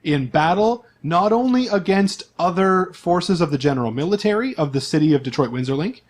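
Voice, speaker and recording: Guy game master laying out the world for his players, kinda high voice, chatty asides. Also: lively intonation, a little fast, a little hiss or hum. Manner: informal, conversational asides. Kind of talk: explaining a tabletop game setting to players.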